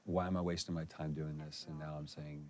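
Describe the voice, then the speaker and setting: A man's voice: a bass voice, level tone, a little fast, slight background noise. A man giving casual, reflective commentary, talking as a commentator on a story.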